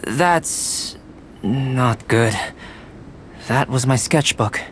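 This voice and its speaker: A man voicing a cool, aloof young alchemist in a video game, speaking in a measured, formal way. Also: mid-range voice, slight background noise. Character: calm, aloof young male alchemist scholar.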